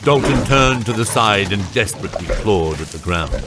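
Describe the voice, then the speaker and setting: A man's voice: lowish voice, lively intonation, normal speed. A man narrating a scripted fantasy audiobook. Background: loud music.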